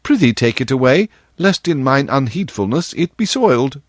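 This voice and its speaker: A man's voice: medium pitch, big pitch swings, moderate pace, no room sound, studio quality, voice pushed up. A man voicing a polite, anguished boy mistaken for a prince, speaking in earnest, old-fashioned courtly words for a storybook. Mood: simple, polite. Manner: earnest, archaic courtly wording.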